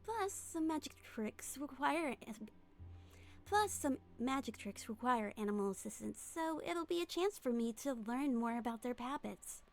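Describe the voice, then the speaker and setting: Woman as a composed young magician's assistant, lightly high. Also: lively intonation, unhurried. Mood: calm interest. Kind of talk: fan-dubbed video game dialogue.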